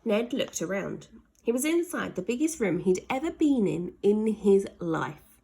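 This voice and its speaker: A woman's voice: medium pitch, very animated, measured pace, vocal fry, mellow, slightly rough. A woman narrating a children's story aloud in a dramatic way, leaning hard on sound words. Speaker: storybook reader.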